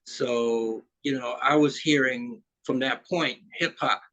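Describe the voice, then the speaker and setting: A man's voice: mid-range voice, steady tone, slow, a bit bright, highs cut off. A man in his fifties from Trinidad, a musician guest talking in an easygoing, rambling way with plenty of fillers. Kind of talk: casual interview chat.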